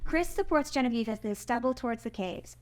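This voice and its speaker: A woman with a high-pitched voice narrates a screenplay. She reads stage directions and scene headings as scripted narration. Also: expressive, fairly quick, a little mellow. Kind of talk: scripted narration at a live screenplay table read.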